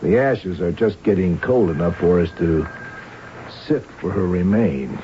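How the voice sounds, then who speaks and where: An actor playing a methodical police arson captain in a 1970s radio drama, putting questions in a clipped, matter-of-fact way. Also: male voice, sits low, lively intonation, unhurried. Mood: grave, matter-of-fact. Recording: dull, hissy vintage radio broadcast.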